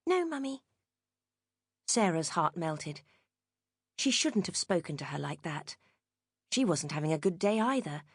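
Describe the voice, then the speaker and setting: A woman's voice: fairly low pitch, no echo. A British woman reading a novel as a scripted dramatic audiobook narration, close to the microphone. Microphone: close studio microphone.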